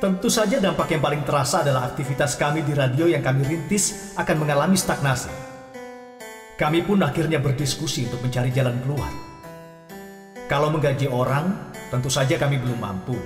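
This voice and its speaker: A man's voice: on the high side, fairly quick, far from the mic, lightly acted. A sincere, reflective young man recalls his past, as a man plays him in an audio drama. He is set back from the mic, in a room that echoes, with guitar music under the voice. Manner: earnest, reflective storytelling. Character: young male radio founder Ken, earnest. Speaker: narrator.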